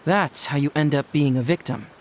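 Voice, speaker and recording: A woman's voice: low voice, moderate pace, slight background noise, close to an everyday voice. A woman voicing a cocky, clever young male detective in a game, glib and playful. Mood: reassuring. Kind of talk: game cutscene voice acting.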